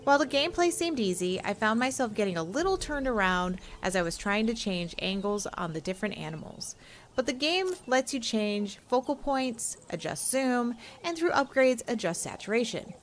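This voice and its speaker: A woman's voice: on the high side, lively intonation, moderate pace. Woman reviewing games, noisy recording. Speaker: show co-host and game reviewer. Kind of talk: casual chat and scripted game review narration.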